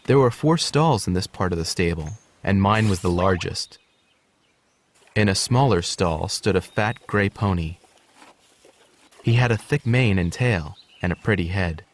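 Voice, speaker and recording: A man calmly narrating a children's storybook. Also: deep voice, unhurried. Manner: calm, clear reading. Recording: studio narration over music.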